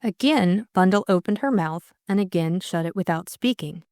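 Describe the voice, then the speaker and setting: Female audiobook narrator, scripted storytelling, slightly low pitch, dry close sound. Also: moderate pace, a little mellow, dry recording.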